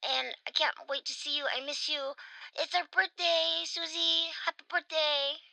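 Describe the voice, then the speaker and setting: A woman's voice: high voice, fairly even intonation, a little slow, creaky, sharp tone, dry recording, exaggerated voice, poor audio. A woman voicing a sweet seven-year-old girl in a radio drama, childlike and hesitant. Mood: sweet, shy.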